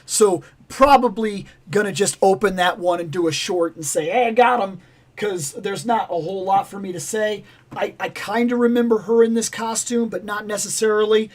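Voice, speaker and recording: A man hosting a toy livestream in casual, rambling chat, with a voice going raspy and hoarse. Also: sits high, normal speed. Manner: rambling, animated, self-interrupting. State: raspy, losing his voice. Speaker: toy channel livestream host.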